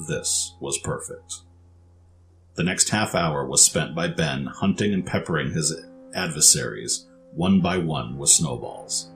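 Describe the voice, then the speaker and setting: A man reading scripted story narration for an audio drama. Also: rumbling low.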